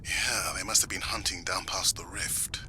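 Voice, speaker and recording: Man acting low-voiced casual researcher. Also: fairly even intonation, moderate pace, natural voice. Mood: concerned. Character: easygoing male marine researcher, moody but hopeful. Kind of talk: naturalistic game dialogue. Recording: clear background noise, ambience.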